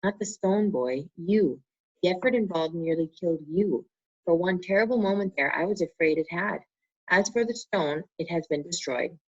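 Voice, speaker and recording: A woman voicing a kindly old male headmaster wizard in a soft, calm, unhurried manner, read as a storybook. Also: on the low side, breathy edge. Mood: gentle. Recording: muffled lo-fi recording.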